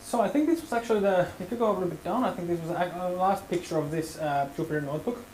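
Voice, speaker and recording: A man's voice: sits high, quick pace, a little mellow, reverberant, a little hiss or hum, low-quality recording. A man leading a hands-on workshop tutorial, talking casually and hedging with many fillers. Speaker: workshop instructor.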